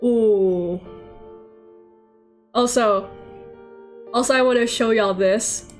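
A young woman with a fairly high voice chats on a livestream. She is informal and slangy, talking as a game streamer to her viewers. Music plays faintly behind her. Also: takes it slowly. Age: young adult. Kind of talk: casual livestream chat.

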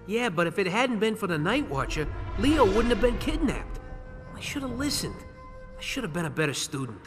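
A gruff hot-headed turtle. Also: male voice, sits high, big pitch swings, normal speed. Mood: brooding. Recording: echoing game cutscene over music.

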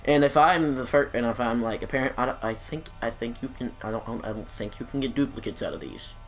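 A man's voice: mid-range voice, lively intonation, a little fast, soft background music, poor audio. A young man with a stuffed-up nose and throat, chatting casually while playing a video game, rambling and apologizing as he goes. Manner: rambling, self-deprecating, apologetic. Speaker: gaming YouTuber. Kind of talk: casual gameplay commentary.

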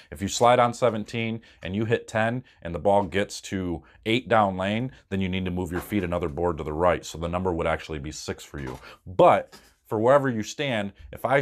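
A man in his thirties explaining bowling technique step by step to a camera in a small indoor room, casual and reassuring, with an occasional "uh". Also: low voice. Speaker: bowling coach. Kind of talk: casual instructional talk to camera.

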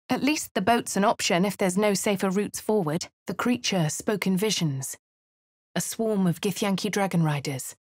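A woman voicing a posh, plucky young adventurer with a southern English accent, speaking crisply and chanting spells. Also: mid-range voice, no echo. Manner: chants spell incantations loudly. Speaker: player character voice. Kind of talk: video game voice lines. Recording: dry studio recording.

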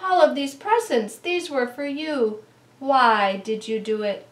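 A woman voicing a dramatic, exasperated pet owner in a storybook, emphatic and loud. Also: sits high, slow. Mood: exasperated, scolding. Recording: roomy, distant camera microphone.

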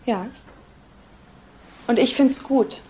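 A woman's voice: on the high side, very slow, a little mellow. An actress playing a defensive, earnest woman being questioned, speaking emphatically in a German crime radio drama.

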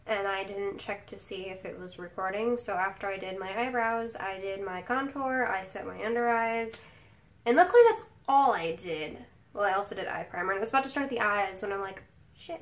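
A young woman beauty vlogger with a sick, nasal voice, chatting casually in her bedroom while doing her makeup, rambling with lots of fillers. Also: mid-range voice. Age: young adult. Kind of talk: casual makeup tutorial chat. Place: bedroom at home, indoors.

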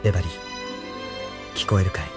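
A man's voice: brisk, loud music behind the voice, close to an everyday voice. A man playing a brave, idealistic young mecha pilot in an audio drama, thinking aloud dramatically. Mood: reflective, earnest. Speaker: voice actor in radio drama. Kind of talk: anime-style audio drama acting.

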